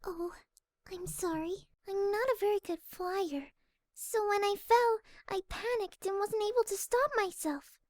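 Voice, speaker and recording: A woman voicing a shy, gentle young female pegasus pony in a fan audio drama, timid, hesitant and soft-spoken. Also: extremely high pitch, steady tone, measured pace, no echo. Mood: bashful.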